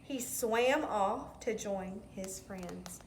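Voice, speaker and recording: A woman's voice: mid-range voice, very slow. A woman reading a children's story aloud expressively, with simple teacherly asides. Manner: expressive, explains words to children. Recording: roomy echo, some background noise.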